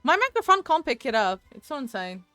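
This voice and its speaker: A woman's voice: high voice, big pitch swings, brisk, bright, forceful tone, dry recording, faint music behind. A woman streaming a game from home into a desk microphone, chatting with viewers in a rambling, talkative way. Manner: chatty, rambling, answers chat aloud. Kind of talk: casual gaming stream chat.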